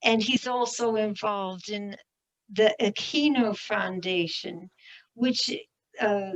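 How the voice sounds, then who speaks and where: A woman's voice: a little high, a bit bright, highs cut off. A woman moderating an online commemoration, thanking one speaker and formally introducing the next. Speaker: event moderator.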